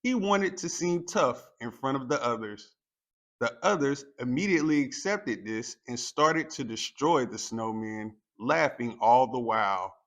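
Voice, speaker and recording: A man with a mid-range voice reads a children's story aloud. His pace is slow and deliberate, and his delivery is expressive, shaped for young listeners. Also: medium pitch. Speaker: storytime reader. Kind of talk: children's picture book read-aloud, scripted narration.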